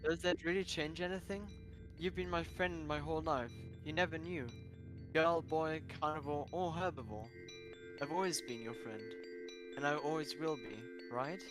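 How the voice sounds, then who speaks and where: A man's voice: fairly high pitch, normal speed, music in the background, lightly acted. A man reading a visual novel in character as an earnest, guilt-ridden young half-carnivore animal, with a hesitant manner. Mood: earnest, apologetic. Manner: hesitant, stammering.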